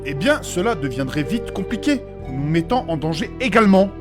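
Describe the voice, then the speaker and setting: A man's voice: a little high, sing-song, moderate pace, over music. A man playing a fussy, polite and pedantic scholar in a comic fantasy audio drama, speaking in a prim, formal manner.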